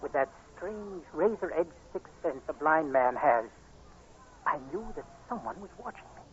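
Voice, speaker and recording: A man acts an anxious blind young man from an old radio play. His high voice swings widely with earnest, dramatic intensity, heard through a tinny, hissy 1940s recording. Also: lively intonation, normal speed, natural voice. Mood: tense narration. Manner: dramatic, intense, emotionally urgent.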